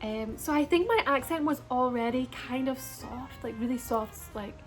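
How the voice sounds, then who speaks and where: A young woman with a soft Scottish lilt that has picked up some American edges speaks in a bright, high register. She rambles casually to her viewers, full of likes and little laughs, over faint music underneath. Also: normal speed. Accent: soft Scottish, partly Americanized. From Scotland. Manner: chatty, frequent likes and ums, laughs between words. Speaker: vlogger. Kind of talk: casual personal storytime.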